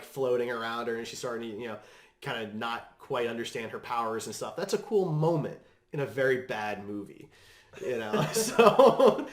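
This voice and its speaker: An echoey room recording of a man hosting a podcast. His voice sits at an ordinary middle pitch, and he talks in a casual, conversational way about movies. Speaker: podcast host.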